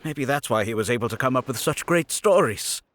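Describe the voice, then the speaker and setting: Man as a bright-voiced, earnest craftsman. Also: mid-range voice, expressive, fairly quick, a little hiss or hum, natural voice. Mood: hopeful. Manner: earnest, explanatory. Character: worried craftsman father, polite, earnest. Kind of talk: anime video game voice acting.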